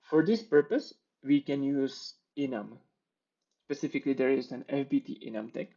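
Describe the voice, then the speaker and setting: Tech speaker, man, gravelly, methodical demo explainer, lo-fi mic. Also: medium pitch, normal speed. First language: Czech. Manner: explanatory, steady walkthrough with small restarts. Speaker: conference speaker. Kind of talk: live-coding tech talk.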